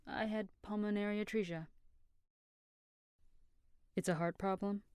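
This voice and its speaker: A woman's voice: mid-range voice, steady tone, measured pace, a little mellow, smooth, no room sound, close to an everyday voice. A woman playing a serious, guarded young female crime henchwoman who talks tersely in an audio drama.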